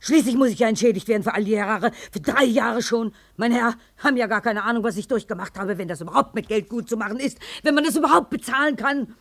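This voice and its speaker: A woman's voice: on the high side, fast, few pauses. A woman acting an embittered, obsessive litigant in a 1950s radio drama, querulous and repeating herself in agitation. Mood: bitter, aggrieved. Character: embittered, obsessive female litigant demanding justice.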